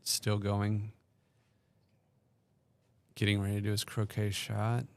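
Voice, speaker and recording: A man co-commentating on the action in a casual play-by-play style, walking through each shot with frequent ums and hesitations. His voice is very deep and carries a gravelly rasp. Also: completely flat delivery, takes it slowly, a little mellow. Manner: hesitant, many ums, describes play step by step.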